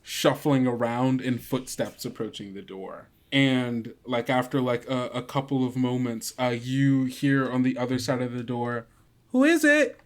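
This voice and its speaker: A game master narrating an improvised tabletop game casually, haltingly thinking aloud. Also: mid-range voice, flat delivery, a little mellow. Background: guitar music.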